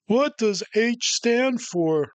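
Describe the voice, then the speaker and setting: A man's voice: very high voice, animated, clear, resonant tone, pitched above the performer's own voice, exaggerated voice. A man voicing a curious young frog, polite and earnest, in a children's storybook reading. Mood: puzzled, curious. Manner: polite, drawn-out questioning.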